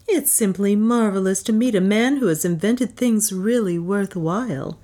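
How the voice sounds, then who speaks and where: A woman voicing a starry-eyed, fawning woman office worker in a storybook, with a breathy, smitten manner. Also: mid-range voice, normal speed, warm tone, pitched above the performer's own voice, natural voice. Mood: gushing admiration.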